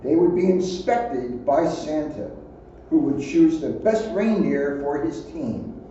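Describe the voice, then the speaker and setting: A man's voice: fairly high pitch, lively intonation, takes it slowly, warm tone. A man reading a children's storybook aloud, adding his own offhand asides. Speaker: police officer reading aloud. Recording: muffled, very echoey, distant, faint noise.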